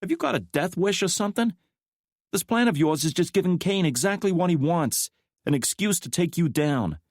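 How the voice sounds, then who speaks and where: A tough, sharp-tongued woman agent who presses hard with pointed questions, put on by a male narrator. The voice sits far higher than his own and rises further when she pushes. The sound is dry and close. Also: lively intonation, normal speed, no echo. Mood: confrontational. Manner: pointed, challenging, exasperated.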